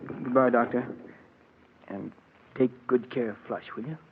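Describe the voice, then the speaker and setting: A man's voice: mid-range voice, fairly even intonation, a little slow, background noise, close to an everyday voice. Actor playing a condemned man, muffled old radio. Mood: resigned farewell. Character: condemned man calmly facing his execution. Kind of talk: 1940s radio drama acting.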